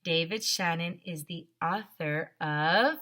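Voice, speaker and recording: A woman with a fairly low, bright voice reads a storybook aloud like a teacher in front of young children. She takes her time, stresses words expressively, and turns to the children with questions and asides. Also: slow. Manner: expressive, exaggerated emphasis, addresses children directly. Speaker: teacher reading a picture book aloud to children.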